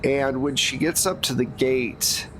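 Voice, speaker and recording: A man narrating as game master of a tabletop game, describing scenes in a relaxed way with frequent fillers. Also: mid-range voice, measured pace. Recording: noisy background.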